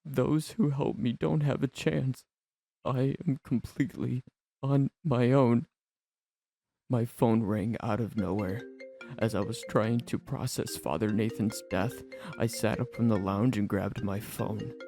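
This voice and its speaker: A man narrating a first-person horror story in a tense, dramatic manner with theatrical pauses. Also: mid-range voice, fairly even intonation, vocal fry, music in the background.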